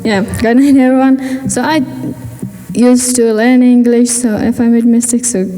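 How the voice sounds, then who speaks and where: A woman's voice: on the high side, little pitch movement, normal speed, dark tone, big-room sound, faint music behind. A teenage girl from Afghanistan, giving a personal speech at a gala and often searching for words in English. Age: teens. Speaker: student speaker. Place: large event hall.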